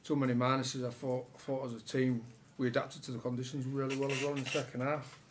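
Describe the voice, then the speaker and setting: A man, a rugby coach answering questions at a post-match press conference with relaxed, halting answers full of fillers. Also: medium pitch, a little hiss or hum. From England. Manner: relaxed, many fillers and restarts. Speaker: rugby league head coach. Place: press room with sponsor board behind.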